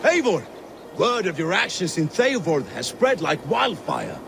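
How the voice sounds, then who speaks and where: A man voicing a loyal Viking ally and battle planner in a game cutscene, speaking in an earnest, steady way. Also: high-pitched, unhurried, gravelly, background noise, full character voice. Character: loyal male Viking ally, calm strategist. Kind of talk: video game cutscene acting.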